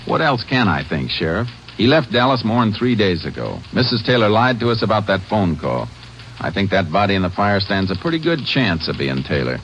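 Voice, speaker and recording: An actor playing a calm, terse Texas Ranger investigator with an American accent, matter-of-fact in an old-time radio drama. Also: male voice, lowish voice, fairly quick. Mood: serious. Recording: noisy, muffled vintage radio recording.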